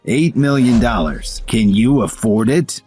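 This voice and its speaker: Man acting a haughty, sneering young manager with lively swings. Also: mid-range voice, a little slow, slightly rough, soft background music. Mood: sneering, boastful. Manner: haughty, taunting. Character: arrogant, sneering young male real estate manager.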